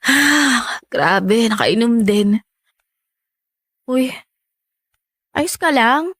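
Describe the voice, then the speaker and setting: An actress playing a lovestruck young woman in a radio romance drama, playful and defensive, with teasing, expressive delivery. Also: fairly high pitch, very slow, no echo, clean studio sound, heavily acted.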